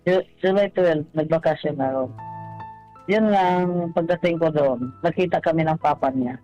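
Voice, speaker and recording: High-pitched man calling in, halting speech. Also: warm tone. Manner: halting, stumbles and repeats words. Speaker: caller on a radio advice show. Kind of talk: hesitant personal storytelling. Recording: dull lo-fi call, music behind. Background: background music.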